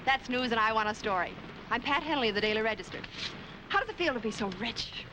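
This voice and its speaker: An actress playing a brash, pushy newspaper reporter in a 1940s crime film. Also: female voice, a little high, expressive, quick pace, natural voice. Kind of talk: 1940s film noir acting. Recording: noisy old film street soundtrack.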